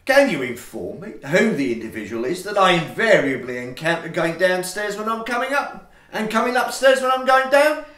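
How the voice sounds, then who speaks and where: A man's voice: high voice, lively intonation, moderate pace, hardly any pauses, a bit bright, echoey room. A man playing an irritable, self-important Victorian lodger in a farcical audio play, declaiming. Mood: indignant suspicion. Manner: indignant, declamatory. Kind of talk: theatrical farce acting for audio play.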